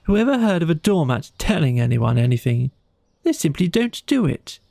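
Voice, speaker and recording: Naive male storybook mole, earnest and long-winded, lifted pitch, a touch creaky man performing. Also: sing-song, moderate pace, warm tone, no echo. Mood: pettish. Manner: emphatic, rambling, earnest. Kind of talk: animal character in a children's storybook.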